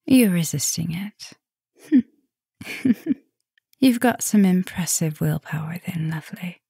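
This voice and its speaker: Casting: woman to play affectionate soft-dom vampire professor for ASMR roleplay; lowish, unhurried, breathy, slips into whispers, teasing. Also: expressive, no echo, studio quality, exaggerated voice.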